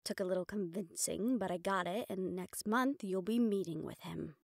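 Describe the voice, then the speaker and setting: A woman's voice: lowish voice, moderate pace, creaky, no echo, clean studio sound. A woman playing a mafia boss's proud, frustrated personal assistant in an intimate roleplay audio drama, with an exasperated, self-reproachful manner. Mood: tense, businesslike.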